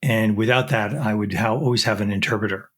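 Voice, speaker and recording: A man's voice: on the low side, fairly even intonation, brisk, fairly bright tone. A middle-aged man, a chemistry professor, explaining technical work in an interview with frequent fillers and restarts.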